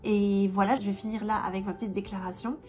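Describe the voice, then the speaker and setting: A woman in her thirties talks casually to camera, her voice a bit stuffy from a cold. She chats on loosely, full of fillers and restarts, at an ordinary middle pitch. Soft music sits faintly behind her. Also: flat delivery, fast. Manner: chatty, many fillers and restarts. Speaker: vlogger and independent language teacher. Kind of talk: casual vlog chat.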